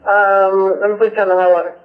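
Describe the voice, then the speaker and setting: A man's voice: sits high, level tone, fast talker. A man working as a police dispatcher, speaking tersely over scanner radio. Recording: tinny, narrow-band police scanner radio.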